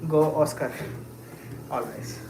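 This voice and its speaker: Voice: medium pitch, takes it slowly. A livestreamer chatting casually with viewers while walking, musing aloud with lots of fillers and short laughs. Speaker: livestreamer answering chat. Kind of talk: casual live chat. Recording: echoey space with clear ambient noise.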